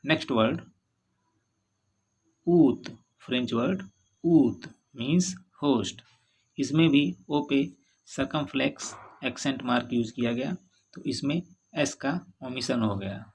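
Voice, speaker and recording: A man with an Indian English accent teaches a language lesson. He reads English sentences very slowly and deliberately, then explains each point. His voice is husky and breathy, at an ordinary middle pitch. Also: darkish tone, low-quality recording. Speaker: French teacher.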